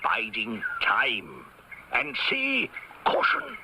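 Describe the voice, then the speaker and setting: An actor playing a brisk, confident Victorian Scotland Yard inspector in an old-time radio drama, speaking in formal, emphatic phrases. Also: male voice, medium pitch, wildly swooping pitch, gravelly, sharp tone, slight background noise, exaggerated voice. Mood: instructive.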